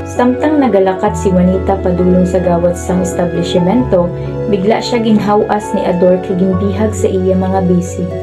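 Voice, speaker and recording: A woman narrates a radio drama from a script. Her voice sits at a middle pitch with a Filipino accent, and music plays clearly behind it. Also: steady tone, moderate pace.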